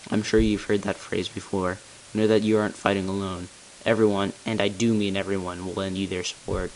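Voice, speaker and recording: Man voicing a low, solemn storytelling leader, hissy recording. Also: lightly acted, rough recording. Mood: earnest, urging. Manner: measured, solemn, explanatory. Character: grave, weary male village elder revealing secrets. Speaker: amateur voice actor auditioning. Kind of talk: scripted dramatic monologue, game narrative. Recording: hissy home microphone recording.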